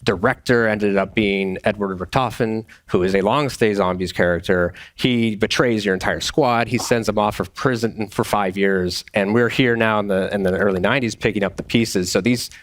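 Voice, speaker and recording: A man's voice: on the low side, steady tone, normal speed, few pauses, no room sound. A man speaking as a game developer in a casual panel discussion, with informal phrasing full of "like". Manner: informal, says "like" often.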